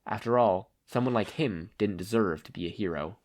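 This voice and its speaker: A man reading stories aloud as an expressive podfic narrator. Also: fairly low pitch, moderate pace. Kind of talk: expressive fan fiction narration.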